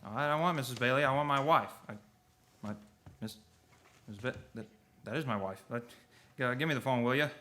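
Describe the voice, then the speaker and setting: A man's voice: mid-range voice, fairly quick, long pauses, sharp tone, natural voice. A man playing an earnest, flustered young small-town loan manager in a radio play, stammering and repeating himself as he talks. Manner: stammering, repeating words, pleading. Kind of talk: stage-style radio play acting.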